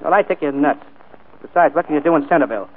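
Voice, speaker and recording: An American actor playing a sly, cheeky manservant and scheming brother in a 1940s radio drama, slangy and mock-deferential. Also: male voice, on the high side, fast talker, a little hiss or hum, natural voice. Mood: coaxing, brash. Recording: tinny, band-limited 1940s radio broadcast.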